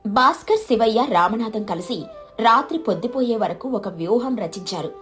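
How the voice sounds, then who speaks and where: A woman's voice: a little high, animated, normal speed, a bit bright, soft background music. A woman narrating a scripted story.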